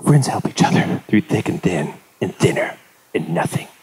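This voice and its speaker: A man's voice: low-pitched, expressive, normal speed, vocal fry, a little mellow. A man playing a goofy, hot-headed but sentimental astronaut in a live comedy cartoon read, with bro-ish slang. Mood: sincere, goofy. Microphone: handheld-style stand mic at table. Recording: live stage mic with background noise.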